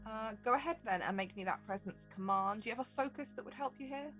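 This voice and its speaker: A woman's voice: fairly high pitch, fast talker, a bit bright, soft background music. A woman narrating a tabletop role-playing game as its game master.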